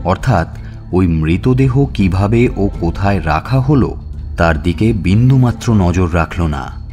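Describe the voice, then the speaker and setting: A man narrating a scripted suspense story in a measured, expressive, dramatic way. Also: deep voice. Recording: music bed under narration.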